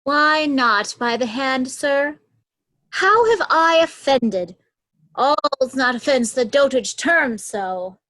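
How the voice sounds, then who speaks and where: A woman's voice: high-pitched, lively intonation, takes it slowly, bright, forceful tone, no echo, full character voice, rough recording. A woman playing a cold, defiant elder royal daughter in a Shakespearean reading. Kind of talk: Shakespearean reader's theatre acting.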